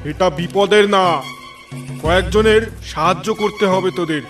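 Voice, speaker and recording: A man voicing a wise holy man in a cartoon who speaks calmly and with authority. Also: high-pitched, normal speed, natural voice. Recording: distant voice with music behind.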